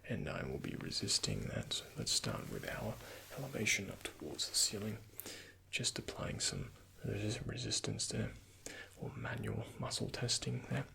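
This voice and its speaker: A soft-spoken man with a breathy, often half-whispered voice, playing a doctor in an ASMR roleplay and giving gentle instructions and reassurance. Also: fairly low pitch, wildly swooping pitch, normal speed, a little hiss or hum.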